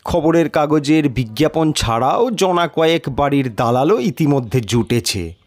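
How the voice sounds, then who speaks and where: Expressive, high-pitched male storyteller. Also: unhurried, no room sound. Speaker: story narrator. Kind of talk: dramatic first-person audiobook narration.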